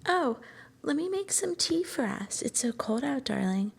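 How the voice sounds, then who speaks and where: Soft, creaky-voiced young girlfriend played by a woman. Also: high voice, very animated, measured pace, heavily acted. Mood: tender, caring. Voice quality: soft, breathy, lapsing into whispers. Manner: tender, coaxing, bashfully hesitant. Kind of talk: soft-spoken roleplay ASMR, intimate personal attention.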